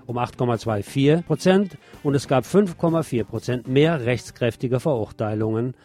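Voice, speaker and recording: A man presents scripted news in a mellow, relaxed voice at a middle pitch. Faint music runs beneath him as he moves through reports and pointed commentary. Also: moderate pace.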